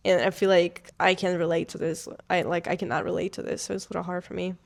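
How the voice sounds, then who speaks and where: A woman's voice: lowish voice, level tone, fast, creaky. A young woman from Ukraine with a Ukrainian accent, chatting casually in English with her fiancé for her vlog, full of "like" fillers. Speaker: vlogger. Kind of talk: casual couple chat.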